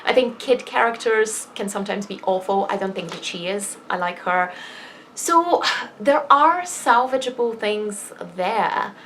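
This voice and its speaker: A woman's voice: mid-range voice, expressive, unhurried, a bit bright, poor audio. Candid woman vlogger over background noise. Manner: candid, self-deprecating, rambling with emphatic asides. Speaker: vlogger and fiction writer. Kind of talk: casual personal vlog chat.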